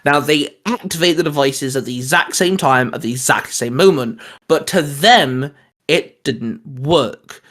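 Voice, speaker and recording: Casual man with a slightly high voice. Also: measured pace, fairly bright tone. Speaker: host of a comics discussion show. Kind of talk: casual geeky chat, pitching ideas.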